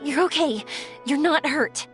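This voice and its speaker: A friendly young heroine from a video game, with a high-pitched voice and an earnest, reassuring manner. Music plays clearly behind the speech. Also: female voice, measured pace. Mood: gentle, reassuring. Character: friendly, caring young woman searching for a child.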